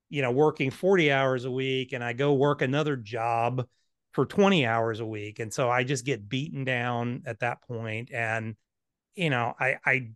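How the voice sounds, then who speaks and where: A man's voice: medium pitch, a bit bright, no echo, studio quality. A man in his fifties, an author and financial planner, answering interview questions in a blunt, candid, self-deprecating way. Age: 50s.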